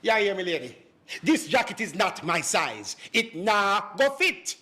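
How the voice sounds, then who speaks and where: A man's voice: high-pitched, sing-song, measured pace, pushed and bright, heavily acted. A man playing a flustered, evasive married Jamaican businessman in a comic stage play, stammering and exasperated. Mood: indignant. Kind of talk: comedic stage play acting.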